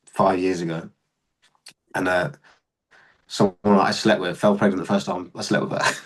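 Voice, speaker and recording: This is a young man, talking casually as a podcast guest. His voice sits slightly low, and he tells his stories candidly and bluntly, swearing as he goes. He sounds relaxed and conversational throughout. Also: moderate pace, slightly rough. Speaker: podcast guest, serving soldier.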